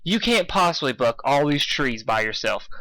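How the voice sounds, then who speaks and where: A man's voice: medium pitch, big pitch swings, normal speed, bright, forceful tone, dry recording, poor audio. A man voicing a sensible, bookish young male pony, logical and concerned, with a reasoning, lecturing manner.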